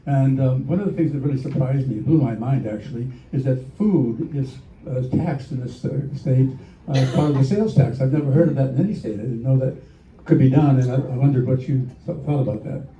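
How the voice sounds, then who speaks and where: A man's voice: medium pitch, brisk, mellow. Hesitant man asking from the crowd. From New England. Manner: hesitant, with many fillers. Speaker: audience member asking a question. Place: crowded meeting room. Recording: echoey room, from far off, dull, some noise.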